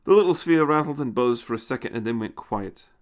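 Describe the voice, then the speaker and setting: A man's voice: medium pitch, animated, fairly quick. A man reading a scripted science fiction story as its narrator.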